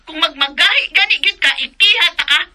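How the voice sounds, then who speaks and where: A woman's voice: extremely high pitch, expressive, fast, background noise. An actress playing an angry young woman customer who scolds and threatens a delivery rider over a phone call. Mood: furious.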